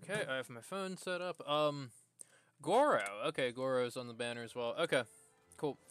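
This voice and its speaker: A man livestreaming a video game, casually thinking aloud in a halting way as he plays. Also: medium pitch, a bit bright, faint music behind. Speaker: game streamer.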